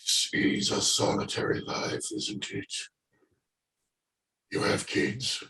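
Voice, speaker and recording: A man playing a lonely, bitter, world-worn father in a stage play monologue, halting and brooding. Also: sharp tone. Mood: wistful, weary. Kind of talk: play table reading, monologue. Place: indoors, echoey room, remote video call. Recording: roomy echo on video call.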